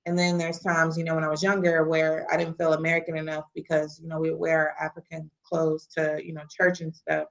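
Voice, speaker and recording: A woman's voice: low voice, completely flat delivery, brisk, vocal fry, few pauses. A young woman from the American Midwest, a podcast guest speaking earnestly and reflectively, with frequent "you know" fillers. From Kansas City, US Midwest. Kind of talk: casual conversational interview.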